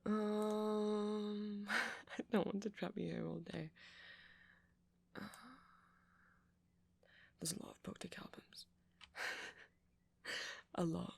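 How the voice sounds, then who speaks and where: A nerdy, flustered young vampire, played by a man, talks in a soft ASMR roleplay. The voice is full of fry and breath, often close to a whisper. He rambles sweetly and hesitantly, putting himself down as he goes. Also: high voice, level tone, drawn-out words, long pauses, full character voice. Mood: nerdy, apologetic. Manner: hesitant, rambling, self-deprecating, breaks into soft laughs.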